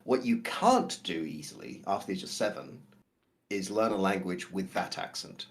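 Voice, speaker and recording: A man's voice: low voice, animated, moderate pace. A man who grew up in Belgium, co-hosting a casual game-development stream and speaking his mind directly. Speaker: stream co-host. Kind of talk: casual chat.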